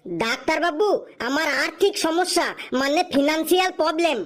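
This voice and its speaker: A woman's voice: high-pitched, normal speed, natural voice. A woman voicing a cheeky, smart-mouthed young jobless man in a comic cartoon, with glib, teasing back-talk. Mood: playfully earnest.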